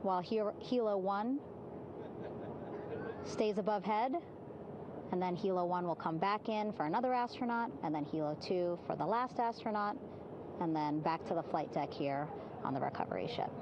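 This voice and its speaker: A woman's voice: on the low side, moderate pace, highs cut off. A woman giving live event commentary from aboard a ship at sea. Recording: loud vehicle-like ambient noise.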